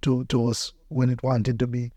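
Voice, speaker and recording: A man's voice: medium pitch, completely flat delivery, moderate pace, mellow, no echo. A man from Belize with a Belizean accent, a pastor telling personal stories as a podcast guest, emphatically repeating phrases for effect. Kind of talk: personal storytelling in conversation.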